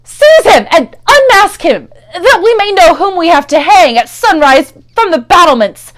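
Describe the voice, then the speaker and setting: A bold, robust prince of gothic storybook horror, acted by a woman, enraged and imperious. The voice is pushed high and loud, declaiming orders as one used to being obeyed. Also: sing-song, measured pace, exaggerated voice, low-quality recording. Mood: outraged.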